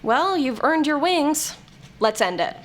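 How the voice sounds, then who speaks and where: A woman's voice: high voice, big pitch swings, slow, fairly bright tone, a little hiss or hum, full character voice. A woman acting an irritable, sardonic character with a youthful form in an audio drama, talking in an exasperated way. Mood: wry, resolved. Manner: exasperated, sarcastic.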